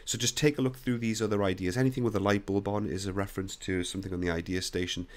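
A young man with a croaky voice, bluntly talking through a CAD software screen recording. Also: lowish voice, steady tone, fast. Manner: blunt, opinionated, conversational. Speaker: CAD software tutorial host.

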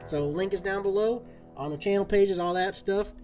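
A man's voice: high voice, moderate pace, a little mellow, soft background music. Folksy drawling man streaming a game. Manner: folksy cowboy-style talk. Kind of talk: casual live-stream chat. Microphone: desk mic, game audio from a speaker.

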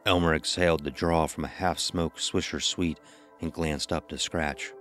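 Super deep male narrator reading a dark audio story, music underneath. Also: steady tone, moderate pace. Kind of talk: scripted horror fiction narration.

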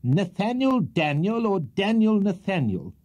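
A small, cantankerous old judge, played by a male reader, snapping his words out sharply in a raised, husky voice. His bark is quick and severe. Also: high-pitched, very animated, a little mellow, pitched above the performer's own voice, heavily acted. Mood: irritable.

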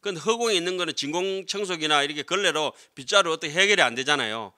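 A man's voice: a little high, expressive, quick pace, pushed and bright. A middle-aged man, a Buddhist monk giving a scripture lecture in a conversational way, often checking with listeners through short tag questions. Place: lecture room with seated audience, indoors. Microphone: desk gooseneck microphones.

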